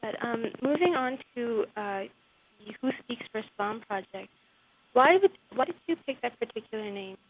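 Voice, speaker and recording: A woman's voice: a little high, animated, normal speed. A woman interviewing a guest, asking questions hesitantly with many ums and uhs. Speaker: interviewer, blog writer. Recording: phone-line sound with faint hiss.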